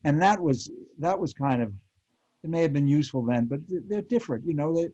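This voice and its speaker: A dry, slightly muffled recording of an older American man reminiscing on a panel. His voice is dark and mellow, and he talks haltingly and reflectively, feeling his way through his thoughts. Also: a little high, expressive, dry recording. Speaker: panel guest, former child actor and TV director. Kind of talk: reminiscing in an online panel interview.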